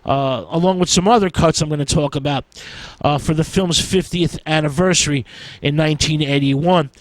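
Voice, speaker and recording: A man giving an informal film history talk as a radio presenter, in a halting, hesitant way with an "uh" before nearly every phrase. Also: fairly high pitch, no room sound, poor audio.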